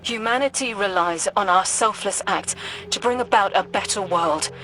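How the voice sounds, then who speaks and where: A woman playing a wise, composed mentor in a video game, speaking in an earnest, measured way. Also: medium pitch, pushed and bright, close to an everyday voice, low-quality recording. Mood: calm conviction. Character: composed, wise female mentor of sci-fi guardians. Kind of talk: scripted video game mission dialogue.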